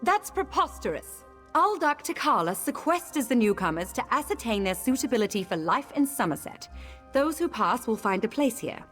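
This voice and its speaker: A proud elf noblewoman speaks with lively, rising and falling pitch that carries her imperious indignation. Her voice sits at a middle pitch. Faint game music plays behind. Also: moderate pace. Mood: indignant. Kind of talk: video game voice acting.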